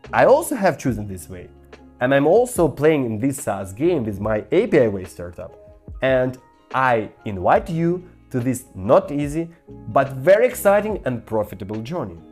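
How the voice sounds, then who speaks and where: A woman with a low voice and a Ukrainian accent presents a scripted business lesson. Her delivery swings widely in pitch as she stresses key points, while the tone stays dark and soft-edged. Faint music plays underneath. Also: breathy edge. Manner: emphatic, persuasive, addressing the viewer directly. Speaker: startup founder, business course presenter. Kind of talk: scripted master-class sales presentation.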